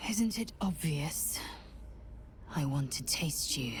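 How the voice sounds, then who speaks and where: A woman playing a fierce warrior woman in a video game, breathy, intimate and deliberate as she speaks of her hungry yearning. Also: low voice, expressive, soft background music, heavily acted. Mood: smouldering desire.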